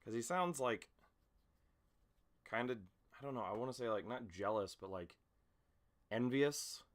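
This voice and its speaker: A man's voice: medium pitch, expressive, unhurried. A man chatting casually to camera as an amateur voice actor, rambling in a hesitant, self-conscious way. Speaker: amateur voice actor recording an audition video. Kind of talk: casual vlog chat. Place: small home room with a mattress against the door.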